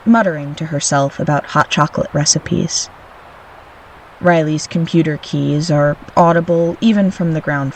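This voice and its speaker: An actor playing an anxious, introspective young adult in an audio drama, with a mid-pitched voice. The delivery moves between lyrical narration and natural dialogue, with some background noise behind it. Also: poor audio.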